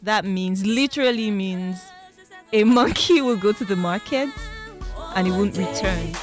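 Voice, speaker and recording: A woman's voice: medium pitch, measured pace, music in the background. A young Nigerian woman singer with a Nigerian accent, talking candidly and reflectively in an interview, often laughing. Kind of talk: interview talk.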